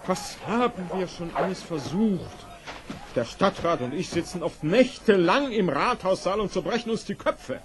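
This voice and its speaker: An actor playing a flustered, despairing town mayor in an old children's audio play. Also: male voice, high voice, lively intonation, normal speed. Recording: noisy old audio play, loud crowd.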